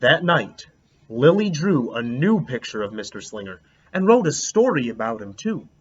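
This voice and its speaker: A man reading a children's picture book aloud expressively, pausing to ask his listeners questions. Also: medium pitch, big pitch swings, slightly rough. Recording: dull lo-fi recording.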